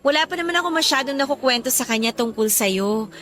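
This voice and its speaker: Shy young filipina friend in a radio drama, high bright voice, gets defensive. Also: female voice, expressive, quick pace. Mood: sheepish.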